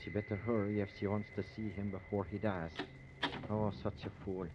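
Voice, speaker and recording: An actor playing an excitable, grumbling small-town sheriff in a folksy manner, in 1950s radio drama style. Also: male voice, fairly low pitch, moderate pace, close to an everyday voice. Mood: somber. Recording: dull, noisy old radio recording.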